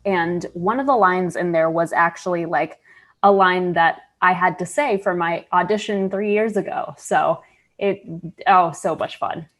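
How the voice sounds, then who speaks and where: A woman's voice: fairly low pitch, fairly even intonation, unhurried. A woman who voice-acts, giving a casual, hesitant interview answer. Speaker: voice actor, cast member.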